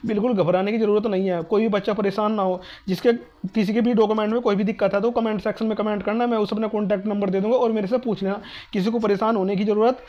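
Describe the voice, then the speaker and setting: A North Indian man talks quickly in a high voice that stays on a narrow, even pitch. He explains things informally to students, repeating himself and reassuring them as an online exam-advice host would. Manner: repetitive, reassuring, asks and answers own questions.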